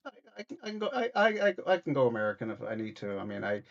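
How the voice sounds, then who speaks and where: A man's voice: lowish voice, sing-song, very fast, vocal fry, muffled recording. A man in his thirties with an Irish accent, a comedian chatting as a podcast guest, rambling with many restarts. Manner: rambling, many restarts, acts out quoted voices. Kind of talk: casual interview chat.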